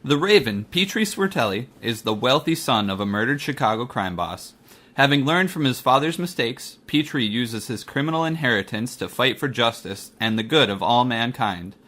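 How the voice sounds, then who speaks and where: Forceful male radio announcer. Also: mid-range voice, lively intonation, normal speed. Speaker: announcer and narrator. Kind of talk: scripted radio-drama announcing.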